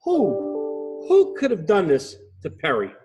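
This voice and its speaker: A man voicing an earnest, excitable owl detective in a children's storybook, dramatic and self-important. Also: fairly high pitch, slow pace, music in the background, pitched above the performer's own voice, full character voice. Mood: dramatic, exclaiming.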